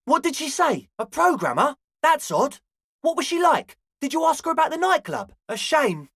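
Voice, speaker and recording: Eager, high-voiced young raver dude. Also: male voice, big pitch swings, normal speed, gravelly, a bit bright, dry recording, studio quality, heavily acted. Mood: hopeful, curious. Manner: slacker slang mixed with grandiose phrasing. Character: balding twenty-year-old raver dreamer, eager, earnest, slightly pompous. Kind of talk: video game character dialogue.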